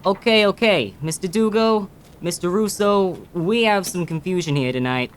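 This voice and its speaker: An actor playing a nervous, placating dock worker in an audio drama. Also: male voice, high-pitched, moderate pace, slight background noise, lightly acted. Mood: nervous, pleading.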